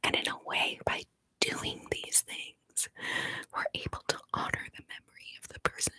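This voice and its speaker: A woman's voice: no echo, poor audio. Tearful whispering woman. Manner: soft, slow, emotional, pauses often. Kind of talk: whispered ASMR chat to viewers.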